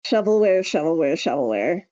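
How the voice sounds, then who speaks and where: A woman's voice: low voice, big pitch swings, normal speed, warm tone, dry recording, muffled recording. A woman chatting casually as a podcast co-host, wry, opinionated and emphatic in how she makes her points. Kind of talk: casual speculative podcast chat.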